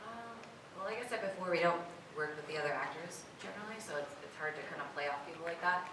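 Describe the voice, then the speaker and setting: A woman voice actress answering fan questions at a convention panel, chatty and self-deprecating, with a hoarse, raspy voice. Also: fairly low pitch, fast. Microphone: filmed by a camera from across the room. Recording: echoey hall from far off with crowd noise.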